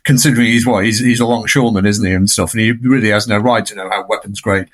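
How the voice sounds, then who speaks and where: A man's voice: fairly low pitch, steady tone, fast talker. A man giving conversational film analysis in a discursive, emphatic way, often checking for agreement.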